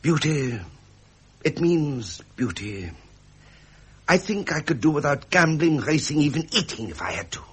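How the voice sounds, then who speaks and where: A man's voice: on the high side, sing-song, unhurried, fairly bright tone, slightly rough, full character voice. A man playing a suave, pleasure-loving Victorian prince in a British radio drama, with a jovial, aristocratic manner and a British accent. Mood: tender, flirtatious.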